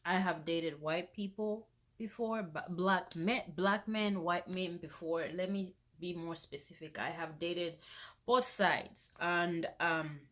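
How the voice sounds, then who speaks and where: A young woman, vlogging in a casual, animated rant that turns indignant. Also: on the low side, slow. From Zambia. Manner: animated, indignant, rises sharply when exasperated. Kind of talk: casual ranting vlog.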